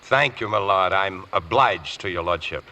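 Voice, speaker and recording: A man's voice: deep voice, normal speed, sharp tone. A man playing a courteous but firm defence barrister in a radio courtroom drama, questioning in a formal, clipped manner. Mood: formally polite. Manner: formal, clipped cross-examining. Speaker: actor playing defence counsel.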